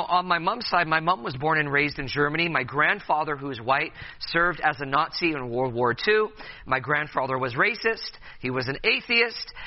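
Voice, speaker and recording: A man's voice: fairly high pitch, highs cut off. A man in his thirties speaking to a room of youth leaders at a session, hesitant with many fillers and restarts, and playful with the audience. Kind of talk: conference talk to youth leaders.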